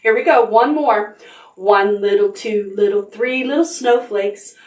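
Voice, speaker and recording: A woman's voice: medium pitch, measured pace, darkish tone, roomy sound, recorded from a distance, low-quality recording. A woman librarian leading preschool storytime, sing-song and encouraging as she talks to small children. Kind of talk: preschool storytime with songs and reading.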